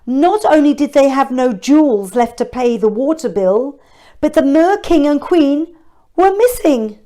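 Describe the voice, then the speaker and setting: Female children's storybook reader, highish voice, very lively intonation, speaks directly to little listeners. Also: a little slow. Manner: expressive, chatty asides to children. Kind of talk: read-aloud storytelling with questions to young listeners.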